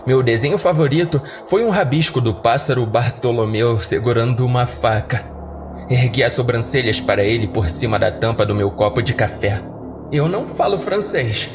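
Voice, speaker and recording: Brazilian man narrating over music. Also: mid-range voice. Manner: colloquial, conversational storytelling. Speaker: horror story podcast narrator. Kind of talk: audiodrama narration in first person.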